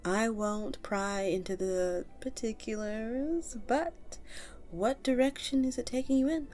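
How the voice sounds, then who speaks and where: A woman's voice: mid-range voice, close to an everyday voice. A woman voicing a friendly, nurturing vampire tavern keeper in an audio roleplay, chatting to the listener in a coaxing, conversational way. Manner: animated, coaxing, conversational with little chuckles.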